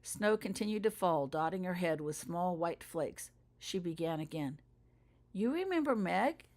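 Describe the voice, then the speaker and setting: A woman's voice: lowish voice, steady tone, normal speed, darkish tone. A woman reading her own fiction aloud as a scripted audiobook narration for younger listeners. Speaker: author reading own story.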